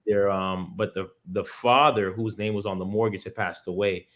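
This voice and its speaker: Low-pitched American man explaining things conversationally. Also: steady tone, normal speed, fairly bright tone. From Miami, United States. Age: 30s. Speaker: attorney, interview guest. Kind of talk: casual explanatory interview talk.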